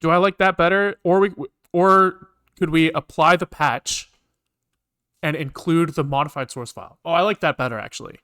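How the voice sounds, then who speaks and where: A man's voice: fairly high pitch, moderate pace, bright, forceful tone, clear, resonant tone. A man thinking aloud as he codes on a livestream, rambling casually, repeating words to himself and swearing offhandedly. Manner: casually profane. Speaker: programmer livestreaming coding.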